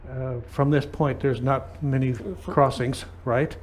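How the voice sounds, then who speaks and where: A man talking conversationally in an aviation lesson, feeling out his phrases haltingly as he speaks. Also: mid-range voice, little pitch movement, slight background noise.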